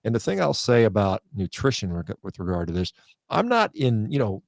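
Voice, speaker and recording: A blunt physician in his late fifties guesting on a live show, his low voice close and dry, making his case with emphasis. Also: sing-song, brisk, creaky, no room sound. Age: late 50s. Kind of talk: live unscripted interview.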